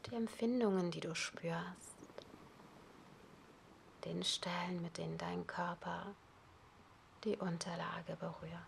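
A woman whispers a guided relaxation at a very slow, unhurried pace, her low voice gravelly and breathy. A faint hiss sits beneath her words, with soft ocean waves behind. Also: fairly even intonation. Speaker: yoga nidra teacher.

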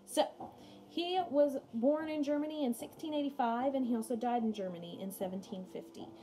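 High-voiced young woman teaching, chatty. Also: fairly quick, mellow, a little hiss or hum, low-quality recording. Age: young adult. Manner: chatty, informal asides between read passages. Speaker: music teacher. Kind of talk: casual read-aloud lesson for pupils. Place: small room at home, indoors. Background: music playing behind the voice.

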